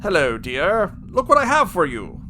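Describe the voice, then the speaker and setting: A man's voice: sits high, huge pitch swings, moderate pace, pushed and bright, slight background noise, exaggerated voice. A man playing a rich, doting boyfriend in a space-opera audio drama, showy and affectionate. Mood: proudly showing off. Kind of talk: scripted audio drama dialogue.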